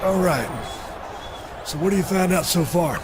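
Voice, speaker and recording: A man's voice: sits high, normal speed, a loud crowd, natural voice. A man playing a brash, blunt, folksy wrestling boss who swears freely in a video game cutscene, on a very noisy recording. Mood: blunt, conspiratorial. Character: brash, gruff-talking, cussing wrestling boss, adult man.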